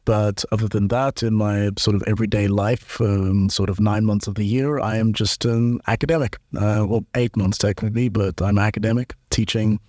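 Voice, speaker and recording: Man, low, dry voice. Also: moderate pace, hardly any pauses, no echo. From South Africa. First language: isiZulu. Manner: relaxed, explanatory, with many fillers and restarts. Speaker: guest, linguist and musician. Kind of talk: casual interview chat.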